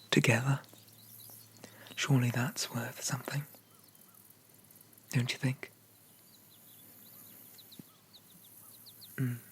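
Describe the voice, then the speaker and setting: A man as a slow, haughty demon prince. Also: medium pitch, a little hiss or hum, close to an everyday voice. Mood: possessive, coaxing. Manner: pompous, formal, softly condescending. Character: haughty, possessive young male dream demon prince. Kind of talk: slow ASMR roleplay, half whispered.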